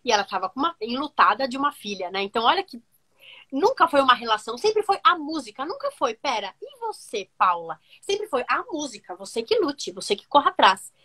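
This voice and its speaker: A woman, telling stories on a video call from a room at home, animated and full of fillers. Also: high-pitched, brisk. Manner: animated, gestures a lot, many fillers. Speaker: music teacher guest. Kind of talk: casual storytelling interview.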